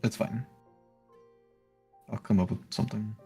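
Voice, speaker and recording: A man's voice: lowish voice, steady tone, unhurried, mellow. A man streamer chatting casually and muttering to himself in a distracted way as he works. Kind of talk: casual chat while drawing on a livestream. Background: music.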